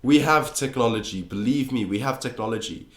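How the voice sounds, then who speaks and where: A man's voice: mid-range voice, brisk. Sarcastic teenage boy vlogging. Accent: mixed English and American. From South Africa. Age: teens. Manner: sarcastic, joking, swears casually. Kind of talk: casual commentary. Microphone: phone taped to a computer monitor.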